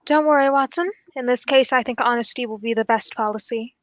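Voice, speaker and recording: A woman playing a calm, courteous male detective in a formal, measured radio drama style. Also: on the high side, dry recording, close to an everyday voice. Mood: composed, courteous. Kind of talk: 1940s radio drama acting.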